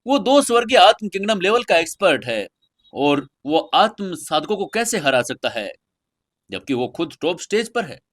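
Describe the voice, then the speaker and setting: An astonished young man in a fantasy crowd, played by a male storyteller. His voice sits a bit high and he exclaims everything with open disbelief. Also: sing-song, normal speed. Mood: disbelieving. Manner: incredulous, exclaiming.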